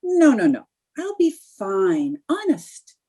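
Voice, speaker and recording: A sly, scatterbrained young housewife played by a woman in a radio sitcom. Her fairly high voice swoops widely as she drawls out her theatrical innocence at a slow pace. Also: wildly swooping pitch, warm tone, exaggerated voice. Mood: evasive, reassuring. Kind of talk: old-time radio comedy acting.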